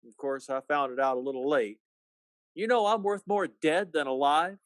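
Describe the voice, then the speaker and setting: A man's voice: a little high, clean tone, close to an everyday voice. A man as a folksy, sardonic troubled family man. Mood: bitter, despairing. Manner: halting, folksy, skeptical drawl. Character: despairing, sardonic small-town American family man. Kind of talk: 1940s-style radio play acting.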